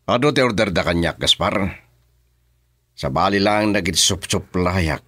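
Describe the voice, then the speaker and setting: A deep-voiced, brooding crime boss. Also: male voice, expressive, a little slow, fairly bright tone, dry recording, clean studio sound, heavily acted. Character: shady businessman boss dealing in guns, husband, guarded. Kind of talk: Ilocano radio drama acting.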